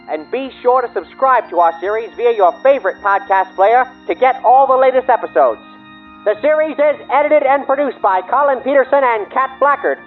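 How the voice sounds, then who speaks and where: A woman's voice: on the high side, dull audio. A woman announcer reading scripted podcast credits. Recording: band-limited radio-like sound over faint music.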